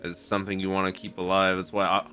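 A young man reacting out loud to an anime episode, exclaiming and swearing casually into a boom mic in a home streaming room. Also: monotone, fast talker, faint music behind. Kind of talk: excited reaction commentary. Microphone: boom mic with headphones. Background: anime soundtrack playing.

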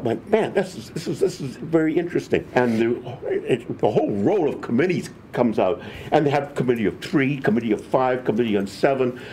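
An older man, a professor guest, explaining history in a halting, restarting way full of false starts. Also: medium pitch, a little hiss or hum. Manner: halting, restarts often, animated. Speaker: political science professor guest. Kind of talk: conversational history discussion.

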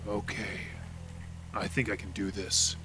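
A man's voice: low voice, flat delivery, a little slow, background noise. A man playing a hardened veteran police detective with terse tough-guy slang in an old-time radio drama. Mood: reluctant.

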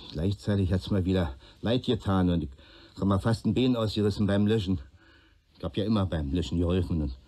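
Sardonic rambling peddler firebug in a radio play, dark low voice, always making excuses. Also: male voice. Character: talkative male peddler arsonist, aggrieved, self-justifying, wry.

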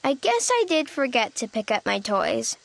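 A woman's voice: on the high side, sing-song, moderate pace, creaky. A woman narrating a children's storybook expressively, voicing an earnest, forgetful little boy critter with a childlike manner. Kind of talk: expressive children's read-aloud in a young child character's voice.

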